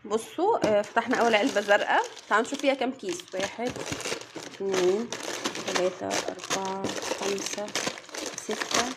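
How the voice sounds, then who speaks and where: A woman's voice: medium pitch, a little fast, heavy background noise. A woman with an Egyptian accent chatting to viewers while unboxing snacks at home. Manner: chatty, addresses viewers directly. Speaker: vlogger mother. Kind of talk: casual unboxing vlog.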